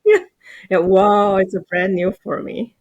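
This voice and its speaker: A woman, a Mandarin speaker, chatting on a podcast in halting, hesitant English. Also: medium pitch, very animated, a little mellow, lo-fi sound. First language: Mandarin Chinese. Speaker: podcast guest, team manager. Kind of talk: casual podcast conversation.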